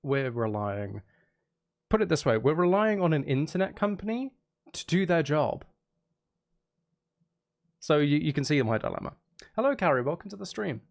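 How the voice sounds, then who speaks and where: A man's voice: fairly high pitch, very animated, moderate pace, gravelly, pauses often. A man chatting casually to viewers on a livestream, rambling, repeating himself and breaking off mid-sentence in a jokey, self-deprecating way. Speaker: live streamer.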